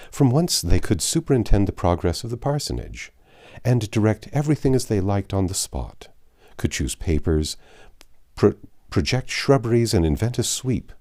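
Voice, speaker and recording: Deep-voiced man reading a classic novel. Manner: measured, expressive reading with occasional slips. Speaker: audiobook narrator.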